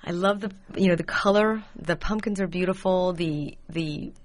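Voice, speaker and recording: A middle-aged woman hosting an interview, asking questions and chatting casually about her own family with soft laughs. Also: fairly low pitch, normal speed, dry recording. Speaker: interviewer host.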